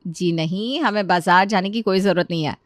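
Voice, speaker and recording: A woman's voice: medium pitch, a little fast, no echo, lightly acted. Patient elder sister-in-law, voiced by a woman, dry sound. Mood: reassuring. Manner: gently explaining, reassuring. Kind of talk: acted Hindi family story dialogue.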